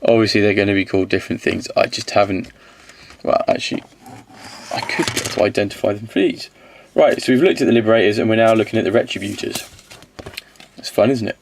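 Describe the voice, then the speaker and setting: A man with a slight cold-hoarse voice, casually reviewing miniatures, rambling and correcting himself as he goes. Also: on the low side, fairly even intonation, fast, a little hiss or hum. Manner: rambling, self-correcting, counts aloud.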